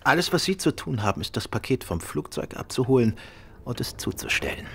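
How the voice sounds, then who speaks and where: A self-assured gentleman detective in a radio mystery. His speech is measured and courteous, with a wide, animated pitch range. Faint noise sits behind the voice. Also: creaky. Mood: calm, firm. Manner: measured, polite, probing. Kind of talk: radio drama acting.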